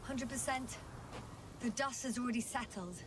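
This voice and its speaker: An actress playing a weary, dutiful scientist exploring an alien planet in a video game, speaking in a matter-of-fact way. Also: female voice, a little high, normal speed, distant mic, noisy background, lightly acted. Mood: focused, businesslike. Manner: matter-of-fact radio-style reporting. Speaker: scientist astronaut on a planetary mission. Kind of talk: video game voice acting, naturalistic.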